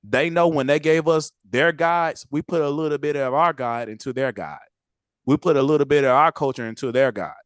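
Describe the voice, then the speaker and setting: A man's voice: on the high side, brisk, clean tone, no room sound. A man hosting a casual podcast chat, blunt and preachy, swearing freely. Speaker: channel host.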